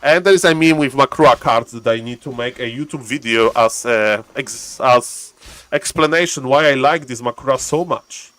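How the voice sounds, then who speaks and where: A man from Poland with a Polish accent, casting a live strategy game in chatty, excitable English and answering his chat. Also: medium pitch, moderate pace. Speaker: game caster.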